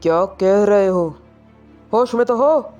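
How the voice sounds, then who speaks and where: A woman's voice: medium pitch, animated, slow pace, dark tone, highs cut off, faint music behind. A woman voicing a stern old grandfather in a dramatised story, indignant and commanding. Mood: angry, forbidding.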